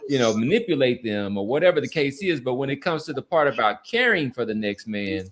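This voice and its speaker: A man hosts a casual sports podcast in a medium-pitched voice. He speaks earnestly in long, halting stretches, often repeating words as he builds his point. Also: animated. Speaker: podcast host. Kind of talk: casual sports talk and commentary.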